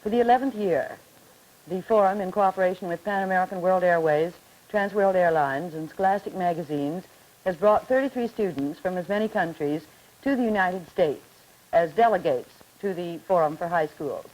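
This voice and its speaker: A woman moderating a 1950s television discussion, asking students brisk, polite questions on old television audio. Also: on the low side, a little mellow, low-quality recording.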